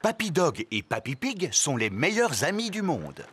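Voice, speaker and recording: A man's voice: on the high side, sing-song, a little slow, fairly bright tone, a little hiss or hum. A man narrating a children's cartoon, introducing and explaining in scripted lines.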